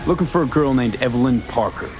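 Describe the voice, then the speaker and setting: A man's voice: mid-range voice, fast, music in the background, lightly acted. A man playing a cool, determined mercenary in a video game, speaking in a blunt, terse, controlled way. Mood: insistent, accusing. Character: cool, determined male mercenary, former nomad. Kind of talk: video game character acting.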